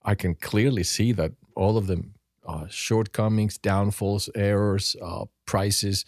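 Low-voiced man. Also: darkish tone, no room sound, clean studio sound. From Greece. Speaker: podcast co-host. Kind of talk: reflective personal discussion.

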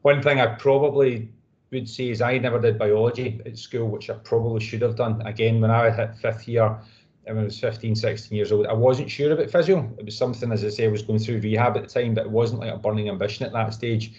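A man's voice: medium pitch, steady tone, fast talker, a little mellow, highs cut off. A Scottish man in his thirties answering interview questions in a modest, rambling way. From Scotland. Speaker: guest physiotherapist.

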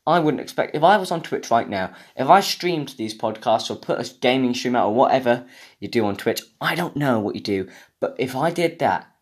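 The young British man you would hear on a homemade solo podcast, swearing and rambling in mock outrage at an everyday pitch. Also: expressive, a little fast. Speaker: podcast host. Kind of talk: casual comedic rant.

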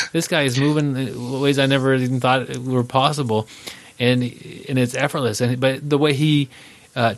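A man hosting a casual podcast chat, nasal and congested from allergies, relaxed and laughing easily. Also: medium pitch, no echo. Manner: relaxed, laughs often, filler-heavy. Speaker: podcast host.

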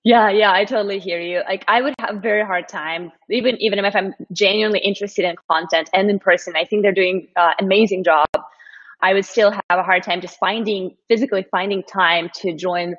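A woman's voice: mid-range voice, steady tone, a little fast, a bit bright. Moscow-raised woman hosting over dull audio. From Russia, Moscow. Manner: upbeat, encouraging, many fillers. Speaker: host. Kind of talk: live-stream interview hosting.

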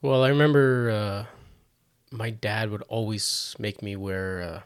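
A man's voice: on the low side, steady tone, a little slow, smooth, dry recording. A man in his thirties from Montreal, a podcast guest, joking and laid-back in casual chat. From Montreal, Canada.

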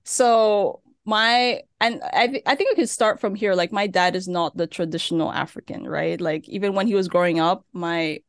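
A woman's voice: on the low side, normal speed, few pauses, no room sound, studio quality. A young woman from Turkey, now in Nigeria, chatting casually as a podcast guest, with many fillers. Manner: chatty, with frequent fillers and laughs. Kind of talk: casual interview chat. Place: living in Nigeria.

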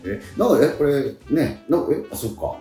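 A man's voice: medium pitch, sing-song, normal speed. A middle-aged man hosting a radio show in casual chat, sometimes groping for words. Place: roomy indoor space at a theatre. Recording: roomy sound with faint music behind.

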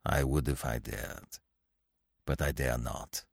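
A man voicing a sullen, resentful and fearful ferryman with a gruff, exclamatory manner in an audiobook story. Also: extremely low pitch, big pitch swings, moderate pace, no room sound, studio quality, exaggerated voice. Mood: sullen refusal. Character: sullen, frightened ferryman, angry and resentful, then pleading.

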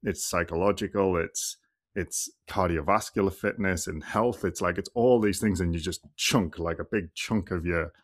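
Deep voiced guy hosting a podcast, thinks out loud, lots of ums. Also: normal speed. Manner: thoughtful, rambling, many fillers and restarts. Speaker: podcast host and clinician. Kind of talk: casual podcast conversation.

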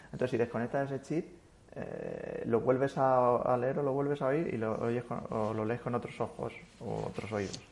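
A man of middling pitch talks through his thoughts in a loose, conversational way. He doubles back on his words and restarts often, with an unhurried, reflective delivery. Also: fairly even intonation, gravelly, a little mellow, a little hiss or hum. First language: Spanish. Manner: thoughtful, rambling with many restarts. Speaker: guest. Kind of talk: casual interview chat.

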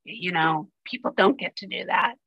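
Female interview host with a hoarse, raspy voice, earnest and candid. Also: fairly low pitch, a bit bright, dry recording, muffled recording. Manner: earnest, candid, with quick asides. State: rough, hoarse voice. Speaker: podcast host. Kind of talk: conversational interview.

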